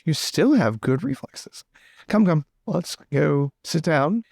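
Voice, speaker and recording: A man's voice: fairly high pitch, sing-song, slow pace, gravelly, darkish tone, dry recording, exaggerated voice. A man playing a breezy, teasing young lord in a tabletop game, with playful bursts of repeated words.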